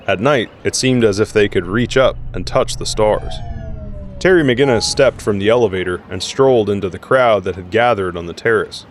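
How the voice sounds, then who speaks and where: A man's voice: lowish voice, slight background noise. A man reading scripted narration for an audio drama. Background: music.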